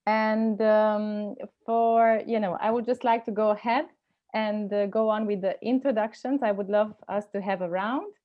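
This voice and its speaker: A woman in her forties moderating an online meeting from a bright room at home, speaking warmly with lots of hesitations into an earphone mic. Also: mid-range voice, fairly even intonation, measured pace, muffled recording. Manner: warm, hesitant, many fillers. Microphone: earphone mic on video call.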